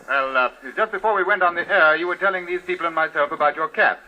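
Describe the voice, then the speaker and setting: An actor playing an eager, polite street reporter coaxing an interview in a 1950s radio drama. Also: male voice, on the high side, fast, pushed and bright, rough recording. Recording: old radio recording.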